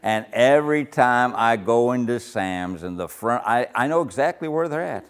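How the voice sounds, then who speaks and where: An older man with a naturally loud voice, leading a Bible study group discussion in a casual, talkative way. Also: medium pitch, normal speed. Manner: loud, gets louder when excited.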